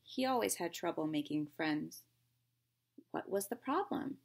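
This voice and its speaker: A woman with a slightly low voice reads a picture book to children. Her tone is raspy with a good deal of vocal fry. She reads expressively, pausing to explain things simply and put questions to young listeners. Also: animated. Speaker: teacher reading a picture book aloud to children. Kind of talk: expressive read-aloud storytelling with simple explanatory asides.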